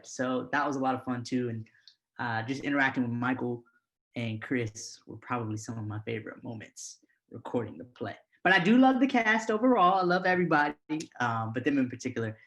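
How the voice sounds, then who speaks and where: A man with an average-pitched voice talks as an actor in a casual interview. He is informal and appreciative as he reminisces. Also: fairly bright tone.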